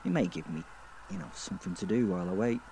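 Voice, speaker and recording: A flippant male werewolf killer , acted by a man, tells his own story in a horror comedy audio drama. His voice sits fairly low, and he rambles haltingly through sardonic, foul-mouthed asides. There is clear noise behind the voice. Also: dark tone. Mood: bored, sardonic.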